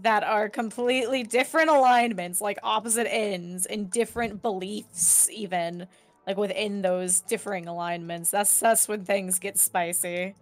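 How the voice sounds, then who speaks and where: A woman's voice: medium pitch, fairly even intonation, moderate pace. A woman in a casual chat among tabletop gamers, thinking aloud in an informal, conversational way. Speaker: tabletop role-playing game player.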